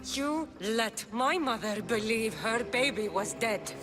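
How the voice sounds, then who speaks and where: Fierce warrior woman, lively pitch. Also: takes it slowly, over music. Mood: outraged. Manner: intense, blunt. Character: fierce, defiant young female mercenary warrior. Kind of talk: dramatic video game voice acting.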